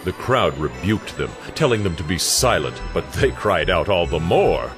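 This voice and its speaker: A man's voice: sits low, very animated, normal speed, over music. A man playing the solemn narrator of a Bible audio drama, giving a scripted, storytelling read. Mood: dramatic narrating.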